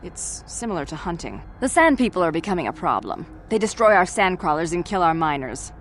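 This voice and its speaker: A businesslike mining company clerk from a video game. Her voice sits a little low and she speaks formally and briskly, with faint noise behind her. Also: big pitch swings. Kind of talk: video game character acting.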